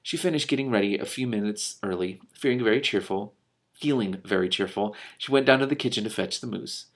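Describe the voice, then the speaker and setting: A man reading a storybook aloud as host, breaking in with casual, animated and incredulous asides. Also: on the low side, fairly quick.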